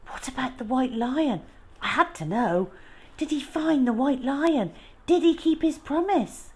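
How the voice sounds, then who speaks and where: A woman's voice: sits high, animated, unhurried, voice pushed up. An eager young storybook child, played by a woman reader, full of curiosity. The voice is lifted well above the reader's own, with a questioning, earnest manner. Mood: anxious, eager.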